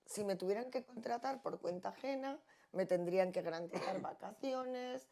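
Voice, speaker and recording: A woman's voice: medium pitch, moderate pace, creaky, mellow. A middle-aged woman with an accent from Spain, speaking off the cuff on a panel in an emphatic, ironic manner.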